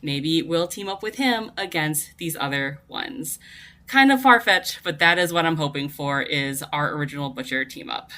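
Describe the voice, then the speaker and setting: Low, bright-voiced woman leaving a message. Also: expressive, moderate pace. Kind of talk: prepared voice-message commentary.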